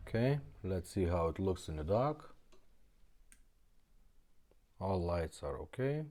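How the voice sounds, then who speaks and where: A man's voice: deep voice, big pitch swings, takes it slowly, mellow, a little hiss or hum. A man presenting a casual product demonstration in short, simple instructional phrases. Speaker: presenter demonstrating restored car radios.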